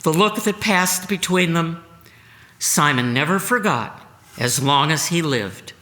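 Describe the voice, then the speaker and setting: A woman reading a dramatic devotional narration at a church service, solemn and expressive in her storytelling. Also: low voice, a little slow. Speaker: reader at a church Good Friday service. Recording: long reverb of a large room.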